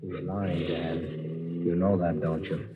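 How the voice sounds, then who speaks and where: A man playing a solemn, watchful rancher's companion, speaking gravely and tersely in 1940s radio acting. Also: low-pitched, flat delivery, slow. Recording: distant, tinny old radio, loud car noise.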